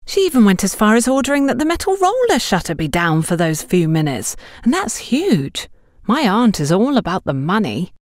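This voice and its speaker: A woman's voice: mid-range voice, sing-song, moderate pace, no room sound, studio quality, pitched above the performer's own voice, lightly acted. A woman voicing a young saleswoman in an audiobook, chatty, candid and emphatic. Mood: amazed. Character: young shop saleswoman, candid, animated, gossipy.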